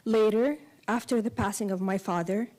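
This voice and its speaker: A woman novelist who grew up between Kuwait and Saudi Arabia, a native Arabic speaker, reading a prepared essay aloud in measured, deliberate phrases. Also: mid-range voice, steady tone, darkish tone. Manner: measured, deliberate phrasing in short cadenced clauses.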